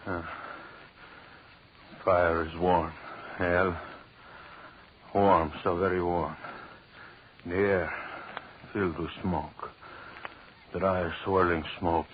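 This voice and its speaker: On a muffled old radio broadcast, a deranged, vengeful killer with a very low voice. He speaks slowly and broodingly, gloating as if over a victim in this horror play. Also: flat delivery, slight background noise. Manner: slow brooding murmur breaking into frantic commands.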